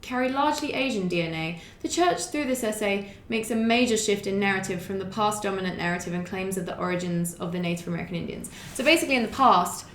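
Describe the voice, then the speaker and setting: A woman's voice: mid-range voice, quick pace, few pauses, a bit bright. Wry woman in an echoey room. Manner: blunt, sweary, wry. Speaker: co-host. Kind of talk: casual reaction chat with reading aloud. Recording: roomy echo, faint background noise.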